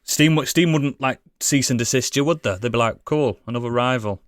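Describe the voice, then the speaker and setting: A man's voice: medium pitch, fairly quick, no echo, studio quality. A British man chatting casually as a gaming podcast co-host, blunt, sweary and opinionated.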